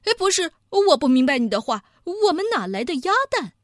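A woman voicing a small, nervous young male mouse with a shrill, squeaky voice. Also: very animated, moderate pace, pushed and bright, dry recording, clean studio sound, exaggerated voice. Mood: nervous protest.

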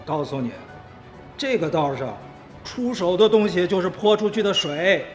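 A man's voice: high voice, expressive, normal speed, faint music behind. A man acting a brash, hot-tempered small-time crook in a radio drama, snappy, scornful and crude. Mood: threatening.

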